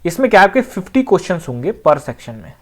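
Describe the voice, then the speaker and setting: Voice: mid-range voice, big pitch swings, fast. An exam coaching teacher, explaining things informally and conversationally, repeating points and often checking that students follow. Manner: conversational, with frequent check-in phrases and repetition.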